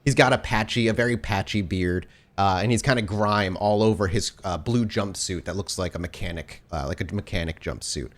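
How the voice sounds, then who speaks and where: A man acting as game master, improvising descriptive, halting narration for a tabletop roleplaying game. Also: low voice.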